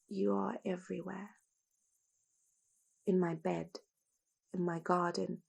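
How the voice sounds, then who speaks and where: A woman performs a poetic monologue in a husky voice edged with creak. She takes her time over each phrase, placing the words deliberately and with emphasis. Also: mid-range voice, a little mellow.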